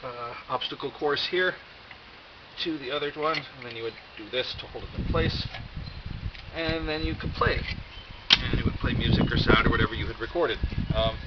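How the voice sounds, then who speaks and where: An American man giving a casual, rambling show-and-tell with wry, deadpan humour and a halting delivery. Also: mid-range voice. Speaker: video host. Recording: dull lo-fi audio, loud background noise.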